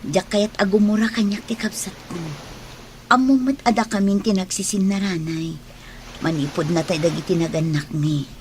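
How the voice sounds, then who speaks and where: Actress as a caring elder sister, faint noise. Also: female voice, medium pitch, steady tone, moderate pace, a little mellow, close to an everyday voice. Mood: worried, pleading. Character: gentle, patient elder sister, protective and caring. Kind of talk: Ilocano radio drama acting.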